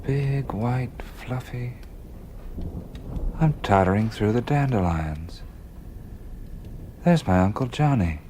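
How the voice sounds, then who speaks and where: An actor playing a bewildered, earnest adventurer with an American accent in a radio drama, hesitant and stammering. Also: male voice, mid-range voice, lively intonation, slow pace, pauses often, mellow, slight background noise. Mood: dazed.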